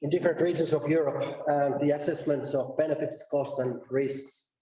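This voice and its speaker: A man giving a conference presentation, halting and restarting mid-sentence as he walks through his slides. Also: fairly high pitch, fairly even intonation, dark tone. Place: conference session room. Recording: echoey room, distant mic.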